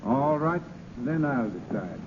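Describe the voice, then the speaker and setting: A man's voice: medium pitch, animated, slow pace. A man acting a proud, formal, middle-aged husband in an old radio drama, with clipped theatrical diction. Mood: coldly controlled. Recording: scratchy, tinny old radio broadcast.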